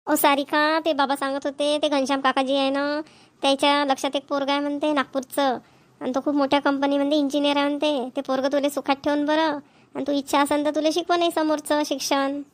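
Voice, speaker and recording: Woman playing a persuasive middle-aged mother, coaxing, high voice. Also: level tone, quick pace, natural voice.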